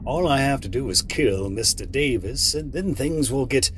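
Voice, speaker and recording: A man playing a calculating villain in an audio horror drama, measured, condescending and formally polite. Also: mid-range voice, lively intonation, fairly bright tone, a little hiss or hum, natural voice. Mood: condescending menace. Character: smooth-talking, calculating male villain with a gun.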